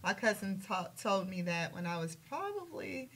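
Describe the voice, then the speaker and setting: A woman's voice: mid-range voice, very animated, normal speed, a bit bright, slightly rough. A woman hosting a talk radio show, chatting with her guest and agreeing often. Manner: affirming, chatty, frequent agreement. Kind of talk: casual radio interview.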